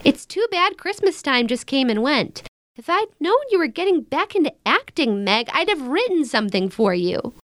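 An actress playing an outspoken, wisecracking young American elder sister in a naturalistic modern audio drama, with blunt, casual banter. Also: female voice, sits high, big pitch swings, moderate pace, fairly bright tone, no echo, studio quality. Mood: teasing, affectionate. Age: young adult.

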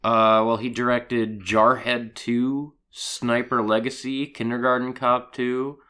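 A man with a hoarse voice from a cold, co-hosting a casual podcast chat and laughing as he talks. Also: mid-range voice, takes it slowly. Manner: animated, laughing, swearing. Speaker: podcast co-host.

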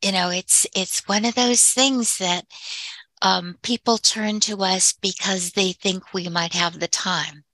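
Older woman, sweet small voice, bright tone, dry sound, casual chat, laughs easily. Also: medium pitch, fairly even intonation, slow pace, no room sound, low-quality recording. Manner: chatty, often laughing as she talks. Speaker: discussion participant and family caregiver. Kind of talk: casual conversation.

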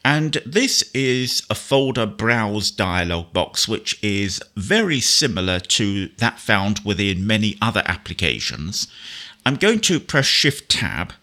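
A man presenting a software tutorial in a methodical, precise instructional manner, explaining step by step as he demonstrates. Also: lowish voice, big pitch swings, takes it slowly. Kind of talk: spoken screen-reader software demonstration.

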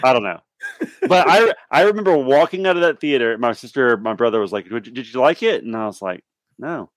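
A man's voice: medium pitch, animated, fairly quick, dry recording. Male podcast guest, forties, casual anecdotal chat, dry room-free sound. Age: 40s. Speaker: podcast guest playing a guessing game.

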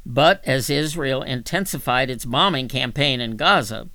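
A man reading magazine articles aloud as scripted narration, in a measured, deliberate manner. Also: mid-range voice, pushed and bright. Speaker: volunteer magazine reader for a reading service.

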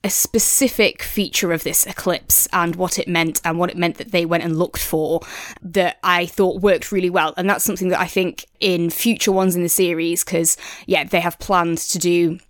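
A woman's voice: lowish voice, fairly even intonation, moderate pace, no room sound, clean studio sound. A woman co-hosting a podcast, talking through a review in an agreeable, thoughtful, conversational way. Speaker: podcast co-host reviewing audiobooks. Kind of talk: casual discussion.

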